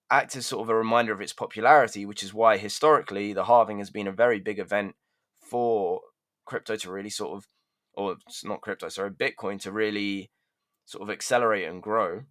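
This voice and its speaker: A man's voice: fairly low pitch, a bit bright. A man co-hosting a fintech news podcast, talking casually and often hedging with "sort of". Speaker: podcast co-host.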